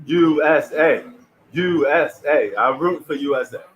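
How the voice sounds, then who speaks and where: Chill new dude streaming from home, slangy, kinda high voice. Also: male voice, measured pace, fairly bright tone, dull audio. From New York. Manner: laid-back, slangy, chatty. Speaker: live stream host. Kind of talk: live stream reaction commentary.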